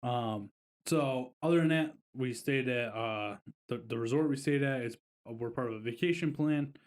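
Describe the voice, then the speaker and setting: A man's voice: medium pitch, moderate pace. Easygoing man telling a rambling story. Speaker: podcast co-host. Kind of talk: casual podcast chat.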